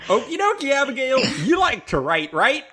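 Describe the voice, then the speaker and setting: A man co-hosting a podcast in casual chat and skit reading, joking around and quick to laugh. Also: extremely high pitch, huge pitch swings. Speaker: podcast co-host. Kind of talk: casual chat and scripted skit read live.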